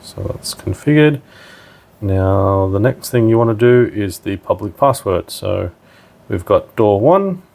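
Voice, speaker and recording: A man's voice: lowish voice, expressive, measured pace, creaky, a little mellow. A man giving a casual, plain-spoken step-by-step technical demonstration as a tutorial presenter.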